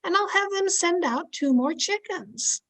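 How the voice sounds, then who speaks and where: A woman's voice: very high voice, lively intonation, unhurried, vocal fry, a bit bright, heavily acted. A woman playing a supportive, chirpy housewife best friend in an old-time radio sitcom, coaxing and wry in a girlish way. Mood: encouraging. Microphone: home microphone over video call.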